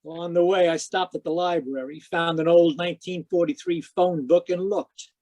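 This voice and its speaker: A man's voice: on the high side, steady tone, moderate pace, darkish tone, lightly acted. An actor playing a wry, inquisitive male private detective in a radio drama, telling his story in hard-boiled style. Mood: wry, narrating. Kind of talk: radio detective drama, first-person narration and dialogue. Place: live remote performance over video call.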